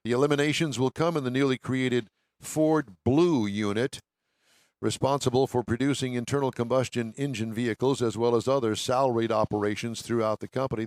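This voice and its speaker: A man's voice: mid-range voice, a little mellow, dry recording. A man hosting an automotive radio show, reading items and bantering in a wry, emphatic way.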